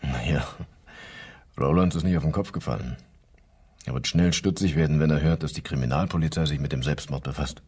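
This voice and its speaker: Male local inspector for radio crime play: extremely low, rough-edged, businesslike. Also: a little fast, a little mellow, exaggerated voice. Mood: frank. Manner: plain-spoken, businesslike. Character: matter-of-fact male local police inspector. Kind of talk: German radio crime drama acting.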